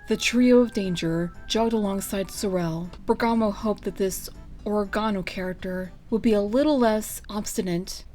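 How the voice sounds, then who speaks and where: A woman's voice: medium pitch, darkish tone. Woman reading fan fiction over music. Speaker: fan fiction reader, channel host. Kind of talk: scripted story narration, casual sign-off.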